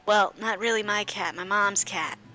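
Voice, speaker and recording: A woman's voice: mid-range voice, steady tone, moderate pace, sharp tone. A woman acting as game master, narrating a tabletop roleplay session in a casual, conversational way. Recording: faint music behind. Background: faint music.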